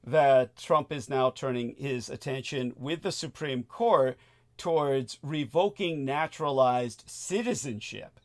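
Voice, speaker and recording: A man's voice: medium pitch, measured pace, darkish tone. A man hosting a live political talk show, talking in a wordy way and often repeating words as he steers guests.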